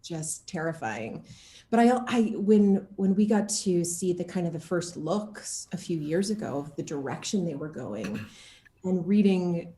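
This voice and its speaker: A woman chatting casually as an interview guest, animated and given to acting out what people said. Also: lowish voice, unhurried. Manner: animated, acts out quoted reactions. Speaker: guest, actor interviewed about game casting and motion capture. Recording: echoey room sound.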